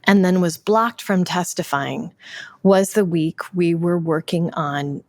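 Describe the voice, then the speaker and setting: A woman with a lowish voice tells a reflective anecdote in unscripted speech. She repeats phrases for emphasis and corrects herself as she goes. The sound is close and dry. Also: slow pace, no echo. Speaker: theatre artist discussing a production.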